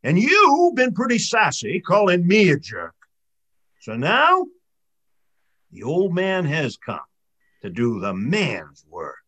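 A man's voice: on the high side, sing-song, extremely slow, hardly any pauses, bright, forceful tone, husky, heavily acted. A man voicing a furious, grumbling old sea spirit with whiskers.

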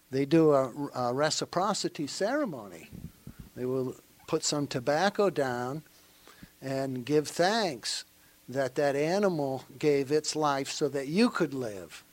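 Slow, earnest man with a Maine accent. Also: medium pitch, no room sound. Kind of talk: panel remarks.

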